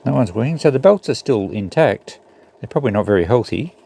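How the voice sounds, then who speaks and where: A man's voice: medium pitch, lively intonation, a little fast, vocal fry, mellow, a little hiss or hum. A man giving casual, unscripted commentary as he thinks aloud through a hands-on repair job. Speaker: host of a repair channel.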